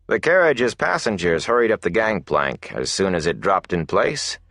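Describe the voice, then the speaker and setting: A man narrating a fantasy novel as an audiobook, in a measured, dramatic storytelling manner. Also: sits low, sing-song, a bit bright, no echo, studio quality.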